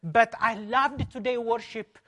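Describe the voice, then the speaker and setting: A man's voice: very high voice, steady tone, unhurried, fairly bright tone. A man pastor from Ethiopia with an Ethiopian accent, giving an emphatic personal testimony as a conference talk in non-native English.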